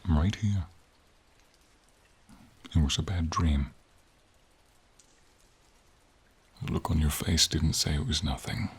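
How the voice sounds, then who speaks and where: A man voicing a gentle, encouraging silver dragon in a fantasy ASMR roleplay, with a husky, near-whispered voice and slow, tender, courtly phrasing, over rain. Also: a bass voice, exaggerated voice. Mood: tender, consoling. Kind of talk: fantasy ASMR roleplay, soft intimate acting. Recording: steady rain behind the voice.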